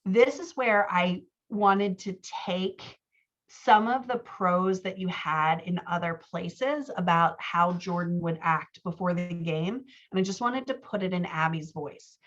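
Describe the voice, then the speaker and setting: A woman with a fairly low voice talks through edits as a writing coach. She is informal and explanatory, slipping into quoted example lines as she goes. The audio is a little dull and lo-fi. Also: a little slow, a bit bright.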